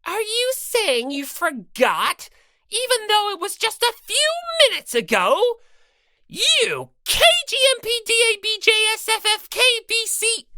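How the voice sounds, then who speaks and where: Audiobook villain: smug, bossy bear mascot, slow taunts, hugely animated pitch. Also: dry recording, clean studio sound, full character voice. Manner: mocking, theatrical, drawn-out taunting delivery. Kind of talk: character voice in fan audiobook.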